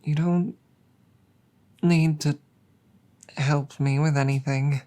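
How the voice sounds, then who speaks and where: A woman voicing an embarrassed, affectionate dragon girlfriend in a roleplay audio drama, speaking formally and apologetically with many halting stammers. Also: low voice, steady tone, mellow. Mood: evasive, embarrassed.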